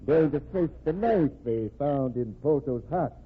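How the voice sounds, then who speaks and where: An actor playing a shrewd, stern, self-assured state governor, speaking with measured dry authority in an old-time radio crime drama. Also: male voice, a little high, expressive, slightly rough. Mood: accusing, dry. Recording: noisy, tinny old radio broadcast recording.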